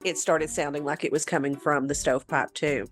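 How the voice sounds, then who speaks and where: A woman's voice: deep voice, steady tone, brisk, faint music behind. A woman co-hosting a paranormal show, telling a story in a conversational way.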